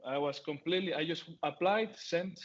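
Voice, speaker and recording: A man's voice: on the high side, normal speed. Venezuelan man with a Spanish accent, hesitant talk, full of fillers and restarts, muffled video call sound. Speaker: guest speaker. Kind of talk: online talk.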